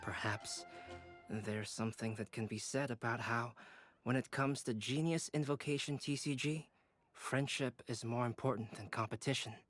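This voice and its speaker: A thoughtful young male artist in a video game, played by a man. His mid-range voice is measured and polite, with composed, articulate phrasing over soft music. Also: mid-range voice, fairly even intonation, lightly acted. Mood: calm, reflective. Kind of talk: video game character acting.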